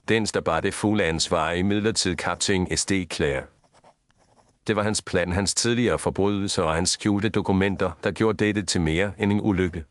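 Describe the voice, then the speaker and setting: A man playing a composed, grave detective in an audio story, speaking formally and with measured deliberation. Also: deep voice, no echo, natural voice. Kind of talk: scripted audio story dialogue.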